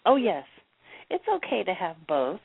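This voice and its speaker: Husky woman co-host on a phone line. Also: lowish voice, sing-song, normal speed. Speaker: radio co-host. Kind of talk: casual chat.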